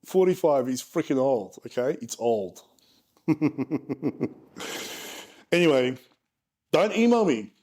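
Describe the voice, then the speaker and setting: A man's voice: medium pitch, expressive, takes it slowly, long pauses, dark tone. A man in his forties with a frank manner and joking asides, casually presenting charts as a property investment presenter. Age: 40s.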